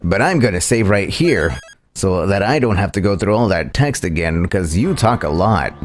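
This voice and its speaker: A man's voice: low-pitched, lively intonation, moderate pace. A man giving casual commentary as he streams a video game playthrough.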